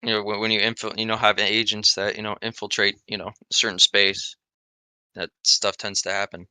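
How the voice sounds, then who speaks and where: A man's voice: fairly low pitch, little pitch movement, normal speed, sharp tone, clear, resonant tone, no echo. A man from the US East Coast chatting casually on a voice call before dawn, laid-back and swearing, with lots of fillers. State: up very early, tired. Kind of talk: casual voice chat.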